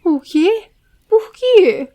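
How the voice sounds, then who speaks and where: Uma mulher interpretando um pequeno ser jovem da floresta, medroso, ansioso e exclamativo, numa história em áudio. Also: female voice, extremely high pitch, very animated, very slow, dark tone, voice pushed up, exaggerated voice. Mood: decepcionado. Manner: exclamatory, anxious, eager. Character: small excitable, fearful young male forest creature.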